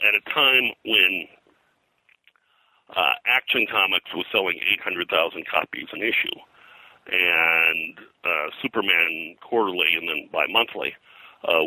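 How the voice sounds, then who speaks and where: A man's voice: low voice, sharp tone, no echo, poor audio. An older man talking as an interview guest, reminiscing in conversational, detailed stories with digressions. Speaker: interview guest, pop culture historian and comics artist.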